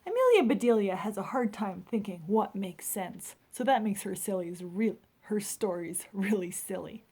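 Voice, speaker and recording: A woman teacher reading a children's story aloud expressively, pausing to explain in an emphatic way. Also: medium pitch, lively intonation, warm tone, breathy edge.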